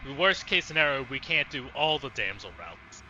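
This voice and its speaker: Male streamer, very bright forceful voice, lively pitch, casual asides, game commentary. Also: normal speed, muffled recording, a little hiss or hum. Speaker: let's play streamer. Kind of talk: casual game commentary, reading choices aloud.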